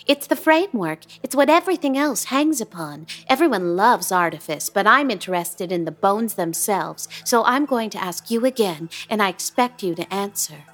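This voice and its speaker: A creepy young landlady in a radio play, sing-song and showy, her voice swooping high and low with dramatic flair. She drags out words and sounds sweet with an eerie edge underneath. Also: animated, fairly bright tone. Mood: insistent. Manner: lilting, grandly affected, drawn-out words. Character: eerie, theatrical young woman landlady, unsettling.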